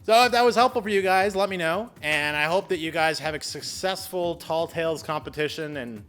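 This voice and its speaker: Male video host, slightly high forceful voice, casual explainer style, exclamatory, playful exaggeration. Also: a little fast. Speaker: video host giving public speaking tips. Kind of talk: casual, chatty explainer with acted-out examples.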